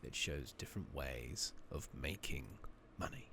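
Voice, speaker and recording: Male ASMR gamer, very low, heavily creaky, soft-spoken murmur, casual and sweary, noisy home recording. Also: lively intonation, a little slow. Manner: casual, swearing, muttering to himself. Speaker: ASMR gaming streamer. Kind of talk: soft-spoken ASMR gameplay commentary with whispering. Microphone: makeshift rigged-up close microphone. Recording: noisy home mic with clicking.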